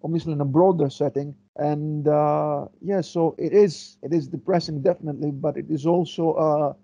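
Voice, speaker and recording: A man from Greece speaks as an interview guest. His voice is very dark and mellow, a little higher than most men's. He pauses, restarts and reaches for his words, and the audio sounds dull and lo-fi, like an online call. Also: fairly even intonation, dry recording. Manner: thoughtful, many restarts and fillers. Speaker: guest historian. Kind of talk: interview answers.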